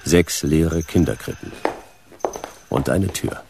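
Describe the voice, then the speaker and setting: A man playing a laconic, sceptical hard-boiled detective in a radio play, speaking in terse, deadpan fragments. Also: rumbling low, slow, gravelly, background noise, exaggerated voice. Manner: terse, deadpan, clipped sentences. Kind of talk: noir radio play narration and dialogue.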